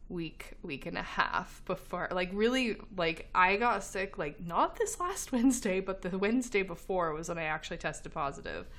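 A woman's voice: on the low side, fairly bright tone. A woman co-hosting a casual podcast chat, laughing often and talking with lots of fillers, a little congested as she recovers from being sick. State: slightly congested, recovering from illness. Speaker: podcast co-host.

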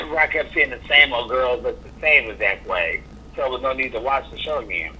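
A sassy, outspoken man chats as an interview guest, his voice bright and forward. He speaks bluntly and without hedging. The recording is dull and lo-fi, with a faint hiss underneath. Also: medium pitch, a little fast. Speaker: interview guest, drag performer. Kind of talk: casual interview chat.

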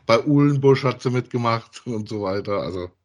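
A man's voice: medium pitch, normal speed. A man, chatting casually as a podcast co-host about audio dramas.

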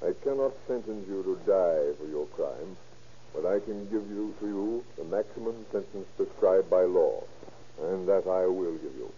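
An actor playing a stern, solemn judge with formal delivery in a 1930s radio drama. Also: male voice, low voice, moderate pace, warm tone, natural voice. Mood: grave. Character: stern, grave male judge passing sentence. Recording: muffled, noisy 1930s radio recording.

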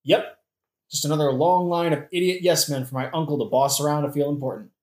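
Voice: mid-range voice, steady tone, brisk, a little mellow, reverberant, natural voice. A performer playing a flippant, sarcastic, world-weary young prince in a modern comic audioplay, using casual slangy speech. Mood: scornful. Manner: sardonic, slangy.

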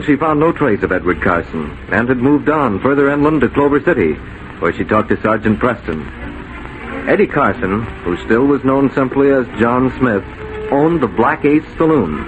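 A man's voice: on the low side, dull audio. A man narrating a scripted 1940s radio adventure drama on a vintage broadcast recording.

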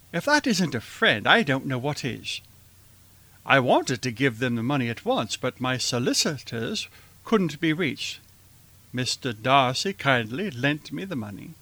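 A man playing a fond, mild elderly gentleman father in a radio play, with a musing, hesitant manner. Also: on the high side, dry recording, exaggerated voice. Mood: earnest, insistent. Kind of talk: radio theater acting.

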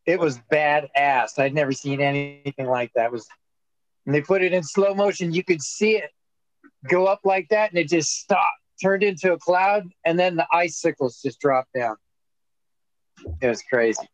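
Male podcast co-host, higher voice, dry sound, joking storyteller. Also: moderate pace, no room sound, low-quality recording. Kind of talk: casual chat.

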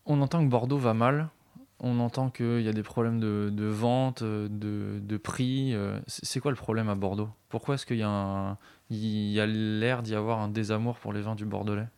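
Smooth, low-voiced male interviewer. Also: steady tone, moderate pace, darkish tone. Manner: direct, plain questions. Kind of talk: asking interview questions.

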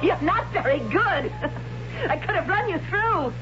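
A fierce warrior woman taunts her captive in a high voice that swings widely in pitch. She speaks slowly and with command. The old radio broadcast sounds dull, with music underneath. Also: very animated, full character voice. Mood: mocking. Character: fierce tall blonde warrior woman, mocking captor. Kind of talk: 1970s radio drama acting.

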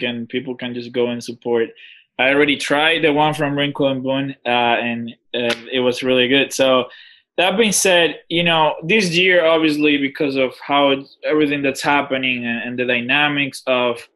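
A man hosting a podcast, asking friendly, slightly halting questions. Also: medium pitch, pushed and bright, rough recording. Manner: friendly, hesitant questions with fillers. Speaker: podcast host. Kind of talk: casual interview.

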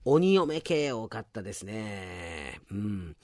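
A man's voice: fairly low pitch, big pitch swings, slow pace, a little mellow, no room sound, studio quality. A man hosting a radio show, chattily reading out listener submissions and commenting on them casually. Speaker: radio show host and announcer.

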